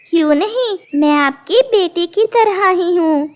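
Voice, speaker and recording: Woman voicing a high, sweet young bird girl. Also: expressive, slow pace, a little mellow, exaggerated voice. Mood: polite, eager. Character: cheerful young studious bird daughter, sweet and polite.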